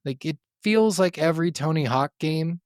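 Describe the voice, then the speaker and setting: A man's voice: a little high, animated, moderate pace, darkish tone, dry recording, clean studio sound. A man speaking as a podcast co-host, chatting about video games in a blunt, colloquial way and swearing freely.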